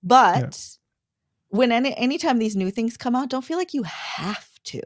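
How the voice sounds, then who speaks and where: A woman's voice: on the high side, lively intonation, moderate pace, dry recording, studio quality. A woman content creator chatting informally and conversationally as a livestream guest. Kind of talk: casual livestream chat.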